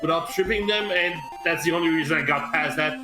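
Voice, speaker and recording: A man's voice: a little high, fairly quick. A Canadian man whose first language is French, chatting casually and explaining how he got through a game. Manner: chatty, upbeat, explains game mechanics in detail. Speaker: guest player. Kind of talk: casual chat. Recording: echoey room with music behind.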